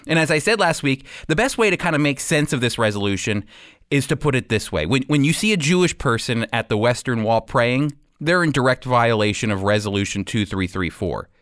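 A man's voice: mid-range voice, lively intonation, a little fast, a bit bright, dry recording, studio quality. A man giving sermon-like Bible teaching as a radio host, in an earnest, emphatic manner.

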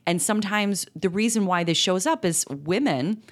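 A woman's voice: mid-range voice, moderate pace. A middle-aged woman co-hosting a podcast, explaining ideas earnestly in casual conversation, with fillers and restarts. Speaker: podcast co-host.

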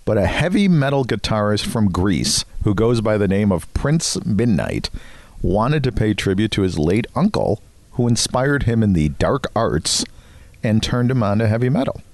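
Middle-aged guy bantering on a podcast, lively up and down voice, cracks jokes, very dry recording. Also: lowish voice, normal speed, dry recording. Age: middle-aged or older. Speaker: podcast co-host. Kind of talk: casual comedic banter.

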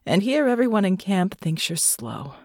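A woman's voice: medium pitch, big pitch swings, normal speed, a little mellow, no echo, studio quality. A woman voicing a bitter, guarded, demon-blooded woman in an audiobook, with a curt, sardonic, muttering and hissing delivery. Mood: wary, sardonic. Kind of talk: audiobook character dialogue.

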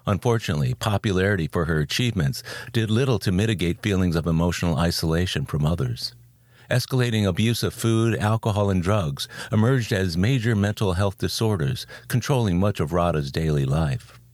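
A man with a low voice hosts an interview podcast. His delivery moves between scripted narration and conversational questions. The sound is dry and close, with very little room on it. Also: animated, brisk, a little mellow, dry recording.

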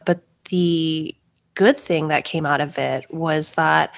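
Lady chef being interviewed, earnest, laughs a little, lowish voice. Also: fairly even intonation, takes it slowly, creaky, dry recording. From Los Angeles area, US. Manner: earnest, laughs softly between thoughts. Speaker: pastry chef guest. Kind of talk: reflective interview talk.

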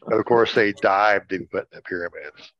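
A man's voice: on the low side, moderate pace. A man co-hosting a casual talk show, joking around with playful wordplay. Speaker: show co-host. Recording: dull lo-fi, roomy echo.

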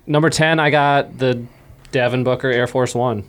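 A man's voice: medium pitch, fairly even intonation, moderate pace, a bit bright, clear, resonant tone. A man talking casually as a podcast co-host, explaining things in a conversational way. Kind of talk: casual chat, listing and discussing.

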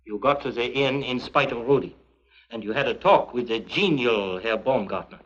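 An actor playing a suave, menacing foreign spy who speaks with formal, mock-courteous precision in an early 1950s TV drama. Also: male voice, medium pitch, animated, normal speed, a bit bright. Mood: threatening. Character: suave, menacing male foreign spy villain, coldly polite. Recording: muffled vintage 1950s TV soundtrack.

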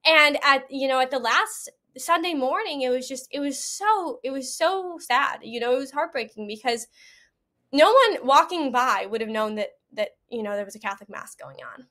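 High-pitched woman, earnest and chatty. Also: animated, normal speed, bright, forceful tone. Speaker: podcast guest, event attendee. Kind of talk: casual interview, personal account.

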